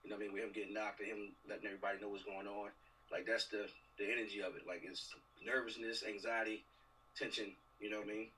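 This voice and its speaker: A man's voice: fairly low pitch, level tone, fast talker, pushed and bright. A man in a casual discussion on a video call, slangy and often checking that he is understood. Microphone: video call microphone. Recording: distant video call with faint hum.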